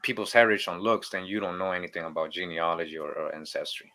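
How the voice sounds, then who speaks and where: A man's voice: low voice, level tone, quick pace, sharp tone. A man from Boston, born in Costa Rica, talking casually and emphatically as a guest on a show. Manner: emphatic, conversational, repeats "you know". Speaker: guest teacher. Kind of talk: casual interview talk.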